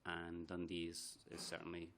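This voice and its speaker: Deep-voiced man giving testimony. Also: monotone, moderate pace, a bit bright. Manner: hesitant, repeats words while thinking. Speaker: committee witness. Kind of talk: formal testimony. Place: committee hearing room.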